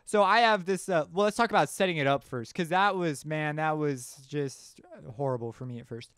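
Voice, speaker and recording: Male tutorial host, slightly high, clean voice, informal think-aloud delivery. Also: moderate pace, fairly bright tone, clear, resonant tone, no echo, studio quality. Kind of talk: casual software tutorial narration. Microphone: close mic screencast voice-over.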